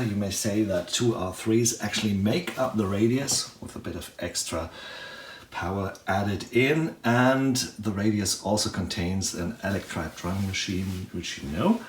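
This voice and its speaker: A man with a fairly low voice talks through a hands-on tutorial, thinking aloud as he operates the equipment. The recording is roomy and echoey, with faint noise behind him. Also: a little slow. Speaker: demonstrator of a synthesizer patch. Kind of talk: hands-on tutorial talk, unscripted. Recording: roomy echo, noticeable background noise, clicks.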